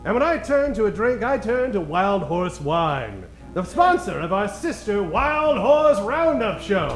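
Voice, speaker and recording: Guy doing old radio announcer, theatrical, very lively, music behind, echoey. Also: high voice, a little slow. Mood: dramatic. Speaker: host and narrator. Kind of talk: old-time radio narration spoof. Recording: echoey stage with loud music bed.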